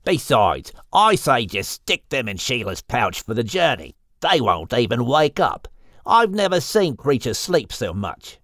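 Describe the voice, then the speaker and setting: A man's voice: medium pitch, very animated, measured pace, a bit bright, no room sound, clean studio sound. A man voicing an easygoing male crocodile with an Australian accent and plenty of slang, in an audiobook. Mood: joking. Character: laid-back male Australian crocodile, joking. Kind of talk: audiobook character voice.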